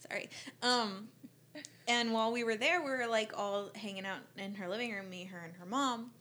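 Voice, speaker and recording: A woman's voice: medium pitch, normal speed, pushed and bright. A woman in her twenties hosting a podcast, chatty and peppered with "like" and "um". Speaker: podcast host. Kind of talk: casual chat.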